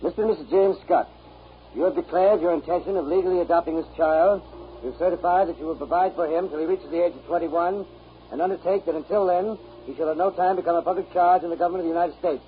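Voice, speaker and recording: A man playing a brisk, gruffly kindly immigration official with clipped questioning, in 1930s radio acting. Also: a little high, lightly acted. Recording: dull, noisy 1939 radio recording.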